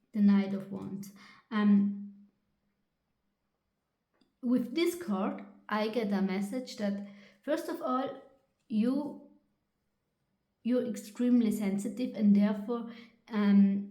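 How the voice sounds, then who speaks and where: A woman with a German accent, a native German speaker, giving a tarot reading in a halting way with many pauses and restarts. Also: mid-range voice, warm tone, reverberant. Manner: hesitant, halting with frequent ums and restarts. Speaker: tarot reader.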